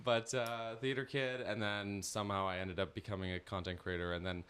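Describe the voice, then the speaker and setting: A man's voice: fairly low pitch, level tone, brisk, fairly bright tone. Tired, rambling man on a panel. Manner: rambling, self-deprecating, laughs often. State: tired, losing his voice. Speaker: voice actor and content creator on a panel. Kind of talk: casual panel chat.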